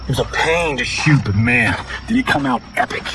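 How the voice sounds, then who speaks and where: Man as brash, excitable movie-star fighter. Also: mid-range voice, moderate pace, distant mic, background noise, natural voice. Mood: excited nostalgia. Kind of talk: video game cutscene acting.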